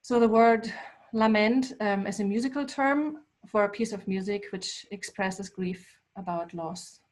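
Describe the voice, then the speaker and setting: A woman whose first language is German, giving a thoughtful presentation about her artwork to a small gathering, with frequent pauses and filler words. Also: medium pitch. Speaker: artist giving a talk. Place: local climate cafe event.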